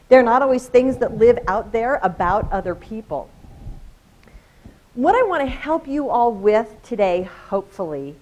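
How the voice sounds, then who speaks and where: Loud, casual woman presenting at a conference. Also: fairly high pitch. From Colorado. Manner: casual, energetic, joking with audience. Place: conference room.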